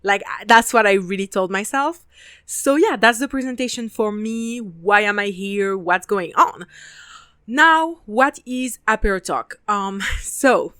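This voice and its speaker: French woman, 20s, French accent, talkative podcast host; smooth, crisp voice at a mid pitch, dry close recording. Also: animated, unhurried, sharp tone, dry recording. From France (Paris). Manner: chatty and talkative, casual swearing, frequent laughter. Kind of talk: casual solo podcast monologue.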